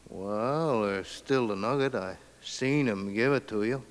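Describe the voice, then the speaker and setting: Man acting a low-voiced callous frontier crook. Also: unhurried, slight background noise, natural voice. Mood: sly, greedy. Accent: American. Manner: folksy, casual, sly. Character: callous, grasping frontier claim-jumper. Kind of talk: 1950s radio western acting.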